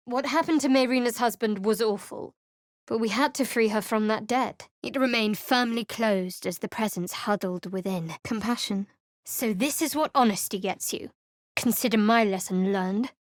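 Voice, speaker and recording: A woman's voice: medium pitch, no echo, close to an everyday voice. An actress voicing a guarded, sardonic young woman cleric with secrets, in studio game voice lines, with a dry, wry and cool way of speaking. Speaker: companion character in a video game.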